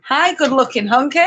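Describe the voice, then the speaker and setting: A woman's voice: sits high, a bit bright. A woman hosting a casual livestream chat, laughing as she reads comments aloud. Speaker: spinning channel host.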